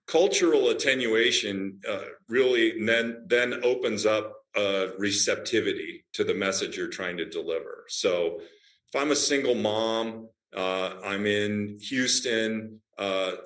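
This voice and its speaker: Deep-voiced man, executive guest. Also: a bit bright, echoey room. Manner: measured, businesslike, many fillers and restarts. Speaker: healthcare company CEO, guest. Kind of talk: interview answers.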